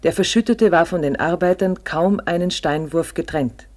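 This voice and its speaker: Higher-pitched male actor as sober storyteller. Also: darkish tone, lightly acted. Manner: sober, measured, short sentences. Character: grave storyteller narrating a survival ordeal. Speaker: narrator. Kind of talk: scripted radio drama narration.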